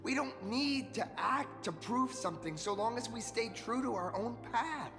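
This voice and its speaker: A man's voice: very high voice, lively intonation, normal speed. A man playing an earnest, self-doubting young rookie hero in a video game, speaking in a humble, hesitant manner. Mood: earnest, modest. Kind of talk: animated game voice acting. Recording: long reverb, clear music behind.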